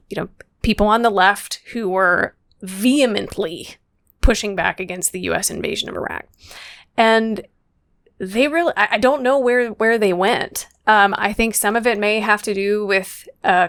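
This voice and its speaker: Woman, thirties, American accent, smooth clear voice, articulate, deliberate phrasing, interview guest, dry recording. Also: mid-range voice, a bit bright, no room sound. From Louisville, Kentucky, United States. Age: 30s. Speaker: guest author, foreign policy scholar. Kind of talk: considered interview talk.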